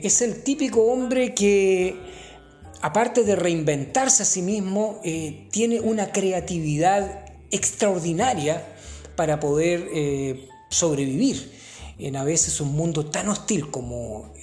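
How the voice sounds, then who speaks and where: A woman's voice: deep voice, takes it slowly, mellow, faint music behind. A woman hosting a mock talk-show interview, mock-formal and full of hesitant fillers. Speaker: host.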